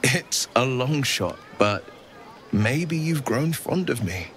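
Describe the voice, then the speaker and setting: An actor playing a melancholy, gallant horned hero in a video game, with a gentle, wistful, courtly way of speaking. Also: male voice, medium pitch, very animated, slow, slight background noise. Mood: wistful, warm. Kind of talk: video game character dialogue.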